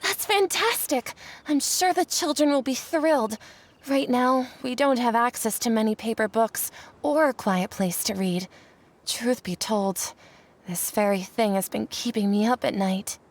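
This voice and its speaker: An earnest village woman in a video game who speaks up for the local children. Her voice sits a bit high and she sounds enthusiastic. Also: unhurried. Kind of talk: game voice acting.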